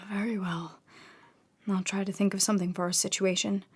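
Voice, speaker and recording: A woman's voice: mid-range voice, flat delivery, normal speed, slightly rough. A woman playing an anxious, weary and insistent woman sculptor in a theatrical, pleading radio horror drama. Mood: weary, desperate.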